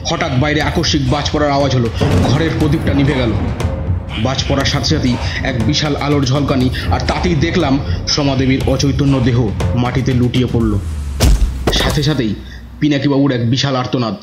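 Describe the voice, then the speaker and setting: A man's voice: medium pitch, steady tone, fairly quick. Male horror narrator in a roomy sound. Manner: dramatic, suspenseful. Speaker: first-person narrator. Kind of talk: scripted horror story narration. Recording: roomy, muffled audio with music.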